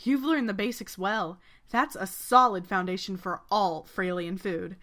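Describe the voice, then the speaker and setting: A woman's voice: medium pitch, unhurried, bright, forceful tone. A woman playing a kind, devoted knight and older-sisterly protector, formal and reassuring, in a video game fandub. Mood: encouraging.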